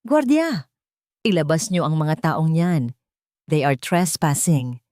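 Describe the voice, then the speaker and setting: A woman's voice: sits low, sing-song, takes it slowly, dark tone, no room sound, clean studio sound, full character voice. Woman voicing a grand, imperious rich Filipina matriarch. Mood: alarmed, commanding. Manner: grand, formal, commanding. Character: proud, imperious wealthy matriarch, a scheming villain.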